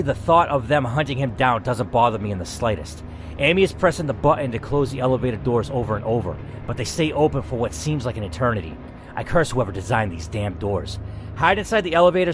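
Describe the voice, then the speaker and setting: Male playthrough host, mid-pitched and animated, dramatic reader with casual asides, audible background noise. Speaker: gamer hosting a playthrough. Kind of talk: reading story game text aloud with casual commentary.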